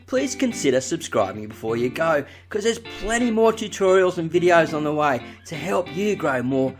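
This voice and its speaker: A man hosting a casual gardening tutorial, chatty and full of fillers, his voice slightly croaky. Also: a little high, lively intonation, normal speed, echoey room, distant mic, soft background music. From Australia. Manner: chatty, many "uh" and "you know" fillers. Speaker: gardening channel host.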